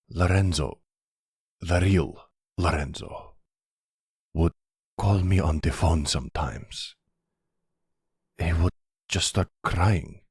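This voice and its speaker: A charming, protective mobster boyfriend, acted by a man for an ASMR roleplay. His voice is extremely low and husky, tender with a dangerous edge. He takes his time with every line, recorded dry and close. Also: a little mellow, dry recording, heavily acted. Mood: somber, confessional. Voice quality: gravelly, husky.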